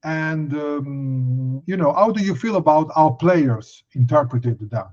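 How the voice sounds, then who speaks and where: A man's voice: on the high side, lively intonation, takes it slowly, darkish tone. A man working as an interviewer, asking questions conversationally, heard through dull, lo-fi audio.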